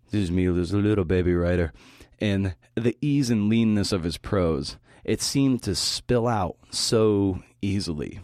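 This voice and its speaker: A man with a low-pitched voice hosts a podcast talk. His delivery is prepared yet conversational, with folksy, reflective turns. The sound is dry and free of room. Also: a little mellow, no echo. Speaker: podcast host giving a talk.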